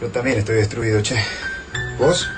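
An actor as a cocky, flirtatious male resistance leader in a radio play. He has an Argentine accent and a mid-pitched voice, with music behind him. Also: normal speed, close to an everyday voice. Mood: flirtatious, tired. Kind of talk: radio drama acting.

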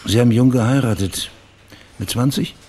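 An actor playing a wry, persistent private investigator in a radio crime drama, with a dry, understated manner. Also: male voice, medium pitch, moderate pace, darkish tone, a little hiss or hum, close to an everyday voice. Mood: dry, probing.

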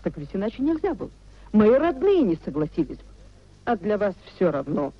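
An actress in a 1950s Russian radio play as a nobleman's dignified, wronged wife, speaking in a measured, theatrical way. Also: mid-range voice, sing-song, dry recording. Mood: reproachful. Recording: dull, muffled 1950s radio recording.

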